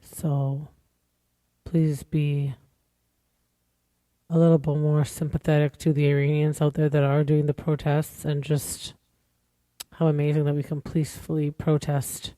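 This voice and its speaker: A woman's voice: low-pitched, little pitch movement, a little slow, long pauses, dark tone, no room sound. Lady in her 40s just chatting on her podcast, kinda rambly and earnest, dry close sound. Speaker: podcast host. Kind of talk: casual podcast monologue.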